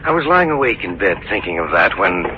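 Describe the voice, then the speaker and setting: An actor playing a sober, uneasy heir who tells his story in a measured, grave manner in 1940s radio drama. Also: sits low, very animated, a little hiss or hum. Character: sober, uneasy male heir narrating a mystery. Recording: muffled old radio recording, music behind.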